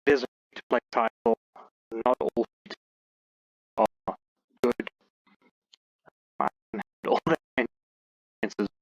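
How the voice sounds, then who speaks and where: A man giving casual game commentary and review as a video host, often pausing mid-sentence. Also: mid-range voice, dry recording. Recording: muffled lo-fi mic, loud background noise.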